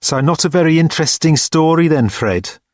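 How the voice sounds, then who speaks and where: A man voicing a large, unflappable college porter in an audiobook, dry, sceptical and deadpan. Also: a little high, brisk, no echo, pitched above the performer's own voice, close to an everyday voice. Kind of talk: audiobook character dialogue.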